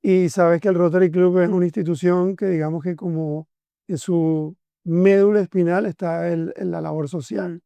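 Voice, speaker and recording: A man's voice: high-pitched, steady tone, normal speed, creaky, dark tone, smooth, dry recording, highs cut off. A man, hosting a podcast interview and speaking with effusive enthusiasm. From Venezuela. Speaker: podcast host. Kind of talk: conversational interview.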